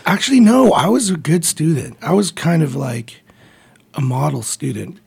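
A man's voice: a little high, big pitch swings, normal speed, creaky, darkish tone, husky, no room sound. A man around forty, born in the Philippines and raised in Montreal, talking as a guest in a radio studio interview, friendly and hesitant with many ums. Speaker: guest, comic book writer.

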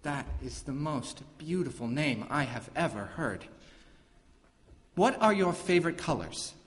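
A man's voice: on the high side, lively intonation, a little slow. A man playing an amiable, awkward king of the underworld in a comic myth drama, earnest, wry and apologetic. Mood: smitten. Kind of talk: comic mythological stage drama acting. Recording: reverberant hall, background room noise.

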